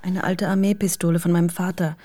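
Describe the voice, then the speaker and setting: A woman's voice: fairly low pitch, flat delivery, fast talker, mellow, close to an everyday voice. An actress playing a shrewd, coaxing wife who works on her lover, in a naturalistic radio crime drama. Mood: worried, coaxing.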